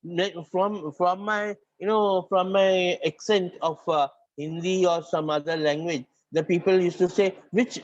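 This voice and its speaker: Indian guy teaching class, high-ish voice, muffled dry recording, keeps restarting sentences. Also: a little mellow. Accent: Indian English, north Indian. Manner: repeats and restarts phrases, dictates points to students. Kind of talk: classroom lecture, mixing English and Hindi.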